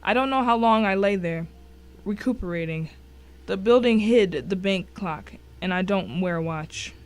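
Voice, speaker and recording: A woman narrates a thriller in the first person. Her voice sits at a medium pitch, and she reads the scripted prose with drama and suspense. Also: normal speed. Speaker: story narrator.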